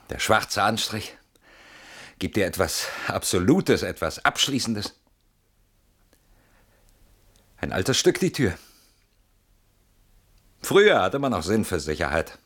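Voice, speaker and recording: Anxious guy barricaded at home, deep husky voice, brooding n suspicious, radio play style. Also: exaggerated voice. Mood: smug, defiant. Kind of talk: German radio play acting.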